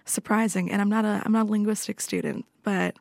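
A woman in her twenties from Canada chats playfully and with self-mockery as a radio guest. Her voice has a gravelly fry and sits at a middle pitch. The studio sound is dry and close. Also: steady tone, fairly quick, darkish tone, no echo. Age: 20s. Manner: playful, self-deprecating, chatty. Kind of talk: casual chat.

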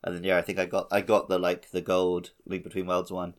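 Guy hosting a gaming podcast, lowish voice, just chatting away casually. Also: completely flat delivery, fairly quick. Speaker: podcast host.

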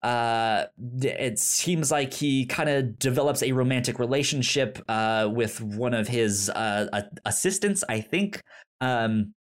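A man co-hosting a casual podcast chat, pitching movies with many stammered restarts and fillers. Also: measured pace. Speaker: podcast co-host.

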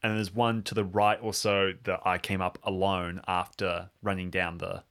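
A man's voice: low voice, level tone, normal speed, a bit bright, clear, resonant tone. A man casually improvising narration of his character's actions as a player on an adventure podcast.

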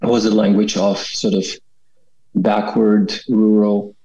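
A thoughtful man in his forties from Kazakhstan tells his story as an interview guest. His voice sits low and sounds mellow. The recording is dull and lo-fi. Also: little pitch movement, takes it slowly. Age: 40s. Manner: thoughtful, often ends with "right?". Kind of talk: reflective personal account.

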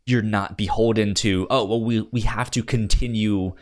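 An American man co-hosting a podcast in casual film chat, speaking haltingly and repeating words as he thinks aloud. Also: fairly low pitch, fairly even intonation. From United States. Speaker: podcast co-host.

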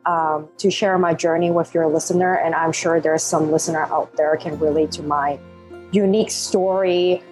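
A woman in her thirties from Hong Kong, a Cantonese native speaker with a Hong Kong English accent, telling her story as a podcast guest in animated, laugh-filled talk. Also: low-pitched, normal speed, soft background music. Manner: animated, laughs often, non-native phrasing. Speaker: guest, life coach. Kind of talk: podcast interview.

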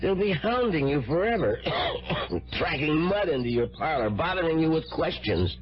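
An actor playing an ingratiating, evasive lodger with a chest cold and cough, hesitant and persuasive, in an old-time radio drama. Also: male voice, on the high side, very animated, normal speed, soft background music. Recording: dull vintage radio broadcast.

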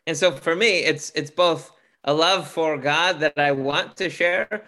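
A man with a loud, booming voice, speaking as a guest in a casual interview, earnest, with many restarts. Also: unhurried. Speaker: podcast guest, author.

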